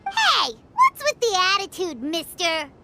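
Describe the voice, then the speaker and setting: A tiny female fairy sidekick in a video game, extremely high and childlike. Her delivery is chirpy and exclamatory, leaping around in pitch, with music clearly behind. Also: unhurried, full character voice. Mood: indignant. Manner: squeaky, expressive, exclamatory. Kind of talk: English video game voice acting. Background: loud game music.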